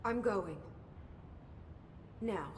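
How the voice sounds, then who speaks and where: A woman's voice: medium pitch, animated, extremely slow, a little hiss or hum. A woman playing a determined, guarded young reluctant leader in a video game, speaking in a terse, direct way. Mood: guarded, firm. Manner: terse, direct, matter-of-fact.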